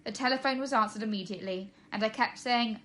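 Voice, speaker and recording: A woman's voice: fairly high pitch, fast, bright, forceful tone, a little hiss or hum. A woman reading a children's storybook aloud as a narrator, like a teacher to pupils.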